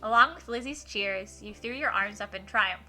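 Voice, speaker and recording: A woman livestreaming a game, switching between informal chat with viewers and expressive read-aloud in a giggly, animated manner. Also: medium pitch, normal speed, faint music behind. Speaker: livestreamer hosting a visual novel playthrough.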